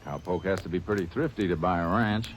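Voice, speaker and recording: An actor playing a steady, sharp-witted Texas Ranger who also narrates, in 1940s radio-drama style, calm and clipped. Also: male voice, low voice, fast talker, slight background noise, close to an everyday voice. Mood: determined. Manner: calm, clipped, matter-of-fact. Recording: old radio broadcast with music.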